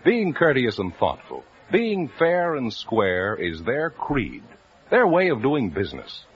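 Man, radio announcer, booming, emphatic, very lively pitch, dull old broadcast sound. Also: slow pace, slightly rough. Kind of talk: radio announcing and live ad reading.

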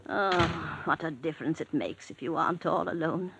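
A frail, breathy old invalid woman. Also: deep voice, moderate pace, a bit bright, full character voice, low-quality recording. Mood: chatty. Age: older. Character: frail elderly woman, invalid, trusting and chatty. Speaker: invalid widow in a cottage. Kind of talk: 1940s radio acting.

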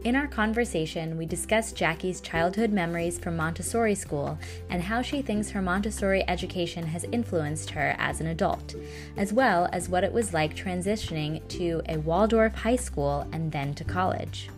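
A woman hosts a podcast, reading her opening in a clear, measured voice. Her pitch sits a little low for a woman. Faint music plays softly behind her. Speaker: podcast host. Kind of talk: scripted podcast intro, then interviewing.

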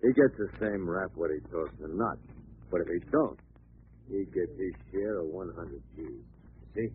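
Tinny vintage radio, gruff crime boss, threatening, sarcastic, slangy, widely swinging pitch. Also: male voice, animated, moderate pace. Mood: curt, controlling. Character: gruff, scornful gang boss, tough and threatening. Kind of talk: 1940s radio gangster acting. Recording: tinny old radio with faint noise.

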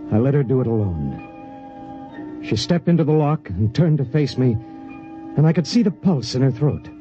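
An actor playing a grim, burdened space pilot in a 1950s radio drama. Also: male voice, medium pitch, very animated, normal speed, natural voice. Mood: grim, haunted. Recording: muffled 1950s radio, music behind.

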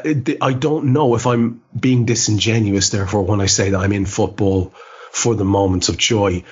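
A man hosting a football podcast in a reflective, halting, self-questioning way, often circling back over his words. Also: mid-range voice, slightly rough. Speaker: podcast host. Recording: dull lo-fi podcast audio.

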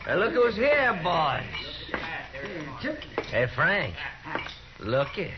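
A man's voice: sits high, huge pitch swings, very slow, heavy background noise, heavily acted. An actor playing a taunting sidekick of a gunman in a 1950s radio drama. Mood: jeering. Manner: taunting, egging on. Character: jeering sidekick of a gunman, cackling. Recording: dull old radio broadcast.

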